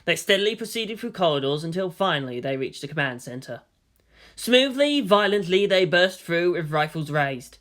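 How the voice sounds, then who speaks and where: An animated audiobook narrator with a bright, forceful tone and a middling pitch. The reading is scripted and dramatic, rising and falling widely as the story is told. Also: moderate pace.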